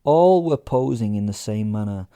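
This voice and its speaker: A man's voice: fairly low pitch, expressive, normal speed, warm tone, smooth. A man narrating a first-person horror comedy in character, conversational and sweary, with a sardonic, theatrically indignant manner.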